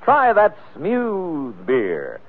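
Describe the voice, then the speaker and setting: A man delivering an emphatic 1940s radio advertising pitch, leaning hard on key words. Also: huge pitch swings. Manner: emphatic, drawn-out selling. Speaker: commercial pitchman. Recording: thin, lo-fi 1940s radio recording.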